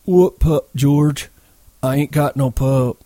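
A man voicing a big, childlike, simple-minded man with breathy, pleading, rustic speech in a story. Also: mid-range voice, takes it slowly, warm tone, dry recording, pitched above the performer's own voice, natural voice. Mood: evasive.

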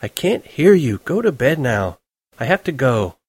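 A man's voice: mid-range voice, big pitch swings, measured pace, darkish tone, breathy edge, no room sound, voice pushed up. A man voicing a sleepy, impatient young woman who scolds curtly. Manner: curt, scolding.

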